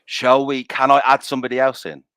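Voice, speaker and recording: A man hosting his own stream, relaxed and casual, filling pauses with ums and yeahs. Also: medium pitch, smooth, no echo, clean studio sound. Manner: laid-back, lots of ums and yeahs. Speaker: streamer host. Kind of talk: casual chat.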